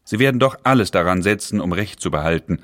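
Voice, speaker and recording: An actor playing a cautious 19th-century businessman, reading a letter of advice in a measured, earnest way for an audio documentary. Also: deep voice, animated, no echo, clean studio sound. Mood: concerned.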